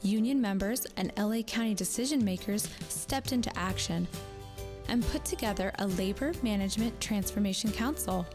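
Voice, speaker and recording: A woman reads scripted narration clearly and evenly. Music runs underneath. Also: mid-range voice, moderate pace.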